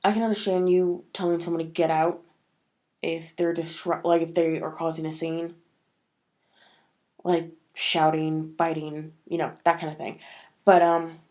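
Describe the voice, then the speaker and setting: A woman's voice: low-pitched, level tone, measured pace, darkish tone. A woman talking casually to camera in a vlog, sniffly from a cold and rambling with many fillers. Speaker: vlogger.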